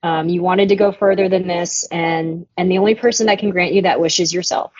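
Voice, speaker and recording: A woman's voice: lowish voice, fairly even intonation, a little fast, no room sound. A woman musician being interviewed, talking frankly and reflectively, swearing casually now and then. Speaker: musician guest. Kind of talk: candid interview talk. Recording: dull, dry podcast audio.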